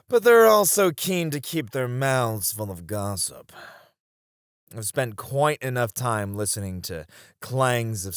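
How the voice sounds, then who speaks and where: A man's voice: mid-range voice, a bit bright, dry recording, studio quality. A man playing a confident, teasing knight bantering with an old comrade in an audio roleplay. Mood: amused, scoffing, then wistful, reserved. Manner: playful teasing with frequent chuckles. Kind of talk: ASMR romance audio roleplay, one-sided dialogue.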